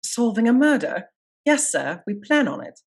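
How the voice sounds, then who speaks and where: A woman's voice: a little high, very animated, normal speed, slightly rough, full character voice. An actress playing a confident, wry lady detective in a radio play, putting probing questions. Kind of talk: dramatic radio-play acting.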